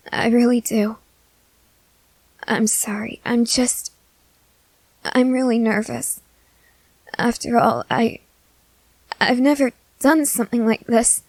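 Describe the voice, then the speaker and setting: A woman voicing a gentle, nervous, newly turned young female vampire who speaks haltingly in an ASMR roleplay. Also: a little high, gravelly, no echo, pitched above the performer's own voice, heavily acted, low-quality recording. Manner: hesitant, halting, soft-hearted. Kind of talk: scripted ASMR audio roleplay.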